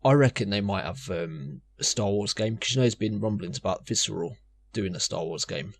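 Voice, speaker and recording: Man, lowish voice, podcast co-host, casual panel chat, informal, opinionated. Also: lively intonation, fairly quick, vocal fry, slightly rough.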